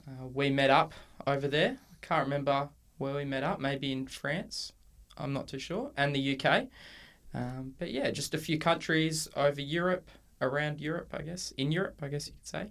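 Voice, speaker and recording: Relaxed Australian man in his twenties. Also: a little high, moderate pace. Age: 20s. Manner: relaxed, hesitant with many ums and restarts. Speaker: podcast co-host. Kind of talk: casual conversational podcast. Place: university room.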